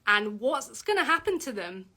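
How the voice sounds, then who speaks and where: A woman's voice: sing-song, normal speed, pushed and bright. A woman hosting a casual livestream Q&A, reading out viewer comments and answering with many fillers and restarts. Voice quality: deep voice for a woman.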